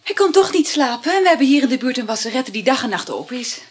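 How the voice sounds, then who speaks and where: A woman's voice: high-pitched, big pitch swings, fast talker, husky, exaggerated voice. An actress playing a chatty, flirtatious married woman in a Dutch radio play, effusive and full of sighs. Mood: evasive, casual.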